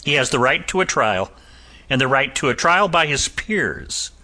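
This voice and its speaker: A man's voice: mid-range voice, sing-song, unhurried, pushed and bright, exaggerated voice. A man voicing a composed physician and former soldier with a dry, cutting wit and a lilt. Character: cool, sardonic Irish physician and ex-soldier, adult man.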